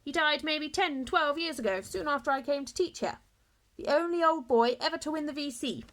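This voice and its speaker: A woman's voice: high voice, moderate pace, a bit bright, pitched above the performer's own voice. A woman voicing a chatty male history teacher in a school storybook. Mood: gossipy, wistful.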